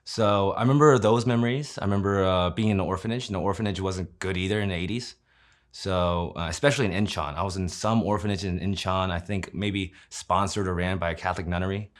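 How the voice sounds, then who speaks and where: A man's voice: deep voice, fairly even intonation, quick pace. A man, telling his own story in an interview in a conversational way, often using fillers and tag questions. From Kansas City, Missouri, USA.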